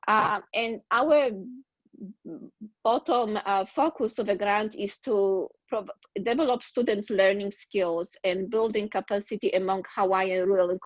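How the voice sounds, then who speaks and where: A woman with a Polish accent presents her project in a webinar, pausing often on fillers and restarting her phrases. Her voice comes through a dry, dull video call line with no room sound. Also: mid-range voice, no room sound. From Poland. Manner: halting, many fillers and restarts. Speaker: university dean, guest grantee.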